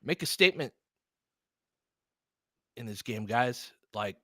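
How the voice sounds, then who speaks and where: A man's voice: medium pitch, lively intonation, slow, pushed and bright, no room sound, clean studio sound. A man giving casual fan commentary and basketball analysis in an informal, conversational way, often checking for agreement.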